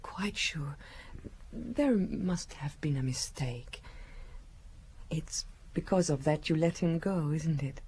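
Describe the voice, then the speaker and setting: An actress playing a secretive, languid young woman in an audio drama, coquettish and timid, with hesitant pauses. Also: low voice, lively intonation, a little mellow, slight background noise, exaggerated voice. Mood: anxious, pleading. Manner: hesitant, breathy pauses, coy.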